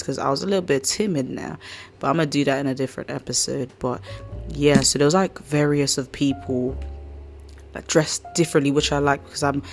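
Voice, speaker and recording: Croaky woman hosting a chatty podcast. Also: extremely low pitch. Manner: chatty, slangy, rambling storyteller. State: croaky, hoarse voice. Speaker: podcast host. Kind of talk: casual chat.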